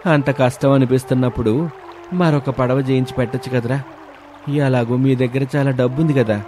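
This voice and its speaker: A man's voice: mid-range voice, steady tone, normal speed, over music, close to an everyday voice. A man playing a casual, teasing village friend who questions familiarly in a storybook tale. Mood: teasing, curious.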